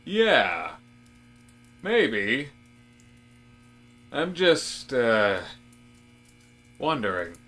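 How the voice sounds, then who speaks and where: A man acts out a mild, agreeable male office colleague in a deadpan audio comedy. His delivery is slow and tentative, musing in drawn-out phrases at a medium pitch. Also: full character voice. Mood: vague, wondering. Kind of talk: deadpan comic audio drama dialogue.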